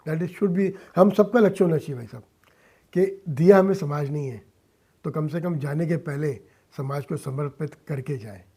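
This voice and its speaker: Male, middle-aged or older, higher-set yet soft-edged mellow voice, earnest interviewee delivering deliberate, emphatic phrases. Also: husky. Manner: emphatic, deliberate, short phrases, respectful address, some English mixed in. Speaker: interview guest, social-cause filmmaker and philanthropist. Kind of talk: earnest radio interview talk.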